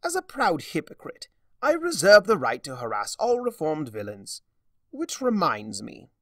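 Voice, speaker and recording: A man's voice: on the high side, wildly swooping pitch, measured pace, fairly bright tone, dry recording, studio quality, recorded from a distance, pitched above the performer's own voice, exaggerated voice. A man voicing a gleefully mischievous chaos creature in a story reading, with a grand, teasing drawl. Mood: smug teasing. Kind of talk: dramatic fan fiction reading.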